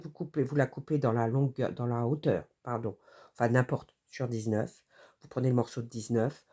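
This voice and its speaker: A woman's voice: sits low, dark tone. A French-accented woman with a cold, hosting a casual craft tutorial and rambling with many hesitations and self-corrections. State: has a cold.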